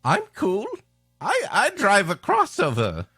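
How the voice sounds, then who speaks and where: A man's voice: high voice, wildly swooping pitch, slow pace, pushed and bright, slightly rough, no room sound, studio quality, faint music behind, voice pushed up, full character voice. A droll, self-satisfied aunt for a comedy storytelling show, put on by a man.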